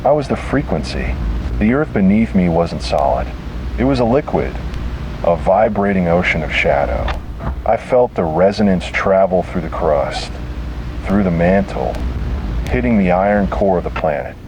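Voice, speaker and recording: Casting: male horror narrator, deep register, grave and measured delivery in short deliberate phrases, over a noisy recording. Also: expressive. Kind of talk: scripted first-person horror story narration.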